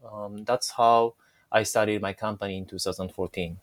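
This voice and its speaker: A man's voice: fairly low pitch, fairly even intonation, normal speed, no echo. A man in his forties with a Japanese accent, speaking English as a non-native, answering interview questions as a company founder with frequent hesitations. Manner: hesitant, many fillers and restarts.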